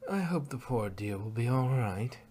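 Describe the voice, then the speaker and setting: A refined cartoon mare dressmaker, acted out by a man, speaks with fussy, genteel drama. The pitch is very animated and sits in a middle range, as in a storybook fan reading. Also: moderate pace, slight background noise, close to an everyday voice. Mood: sympathetic. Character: refined, fretful female cartoon unicorn dressmaker.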